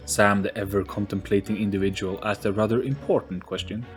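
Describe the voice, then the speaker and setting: A man's voice: on the low side, fairly even intonation, brisk, faint music behind. A man reading scripted narration for a storybook-style audio play.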